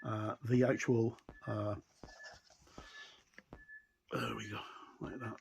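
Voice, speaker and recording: A man's voice: fairly low pitch, level tone, takes it slowly, a little mellow. Man giving a casual review, noisy recording. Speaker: tabletop game reviewer. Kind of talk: casual flip-through product review, unscripted. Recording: clear background noise with clicks.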